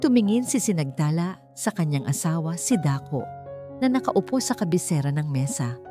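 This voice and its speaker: Low-voiced woman narrating a dramatic story. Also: big pitch swings, normal speed. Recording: music behind the voice.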